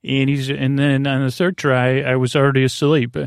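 Man, mid-pitched, creaky, meandering bedtime podcast host, halting, soothing, dry recording. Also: flat delivery, dry recording. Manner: meandering, halting, trailing off, repeating himself; soothing, low-pressure. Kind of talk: rambling bedtime monologue to help listeners sleep.

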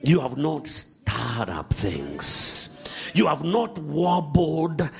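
A male preacher delivering a sermon with a lively, swooping delivery. His voice sits fairly high and carries a gravelly, rough edge. He moves from loud bursts to quiet asides. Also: drawn-out words. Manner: animated preaching, swinging from shouts to hushed asides.

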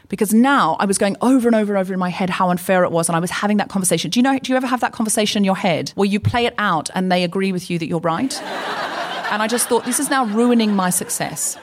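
Chatty woman telling stories on stage. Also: mid-range voice. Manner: chatty, anecdotal, acts out both sides of dialogue. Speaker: podcast co-host. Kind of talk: live comedy podcast chat and storytelling. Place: live stage before an audience.

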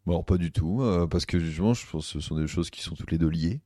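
Fast-talking low-voiced young man. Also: dark tone, no room sound, clean studio sound. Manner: quick, storytelling, slangy. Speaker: stand-up comedian guest. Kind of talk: casual chat.

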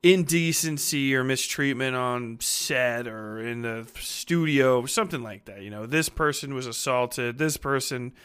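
Bright, clear-voiced man rambling casually. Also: mid-range voice, unhurried, few pauses. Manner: rambling, conversational, profane. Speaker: podcast host. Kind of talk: casual book discussion.

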